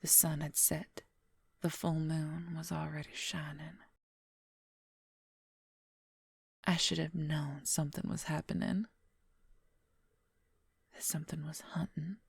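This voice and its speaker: A woman's voice: sits low, flat delivery, unhurried, long pauses, dry recording, heavily acted. A woman playing a possessive, wry female werewolf lover in an intimate, confiding whispered ASMR roleplay, close to the microphone. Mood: hushed, ominous. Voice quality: breathy, husky whisper. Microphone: close microphone, dry.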